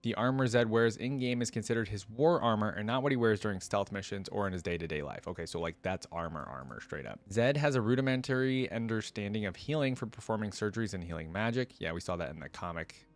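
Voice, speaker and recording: A man's voice: on the low side, expressive, quick pace, few pauses. A man giving casual reaction commentary, reading aloud and adding informal, exclaiming asides as host.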